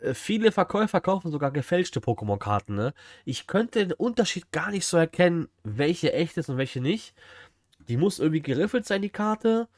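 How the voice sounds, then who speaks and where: A man's voice: fairly high pitch, sing-song, brisk, darkish tone, dry recording, clean studio sound. A man, chatting casually and musing aloud as he reacts on a livestream. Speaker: streamer reacting to a video. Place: indoor streaming room.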